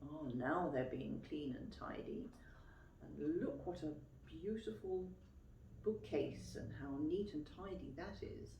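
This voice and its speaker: Echoey, slow-paced woman reading to children. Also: low-pitched, slight background noise. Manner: expressive, clearly phrased, explaining and questioning as to young children. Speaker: teacher reading a picture book aloud to children. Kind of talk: children's storytime read-aloud with questions to listeners. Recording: roomy, echoey, distant microphone.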